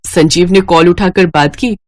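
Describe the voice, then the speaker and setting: A woman's voice: lowish voice, normal speed, darkish tone, soft background music. A woman reading scripted narration for a moral family story.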